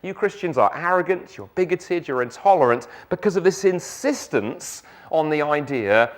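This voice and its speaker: A middle-aged man from South London speaks with the matching accent, his voice sitting a little high. He talks as a guest speaker at an evening church service. His delivery is lively, with joking asides and rhetorical questions. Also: normal speed. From England.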